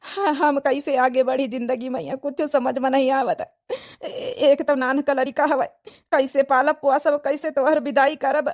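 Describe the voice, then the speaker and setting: Cartoon widowed father played by a woman: reluctant and sorrowful, highish voice, Awadhi accent, plaintive delivery. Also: steady tone, moderate pace, a little mellow, lightly acted. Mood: grieving. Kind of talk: dramatic cartoon dialogue in dialect.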